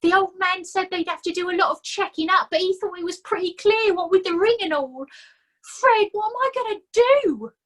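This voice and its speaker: A woman putting on a high, whining scrawny corporal. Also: moderate pace. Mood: woeful. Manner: whiny and self-pitying. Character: scrawny, put-upon, whining male corporal.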